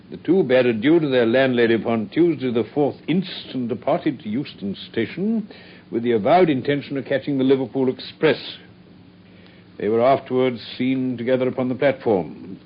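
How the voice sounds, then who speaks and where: An actor playing an educated, curious Victorian gentleman with an English accent and a genteel, measured manner in a radio drama. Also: mid-range voice, a little hiss or hum, lightly acted. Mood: measured reading. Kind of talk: British radio drama acting. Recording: muffled radio drama recording.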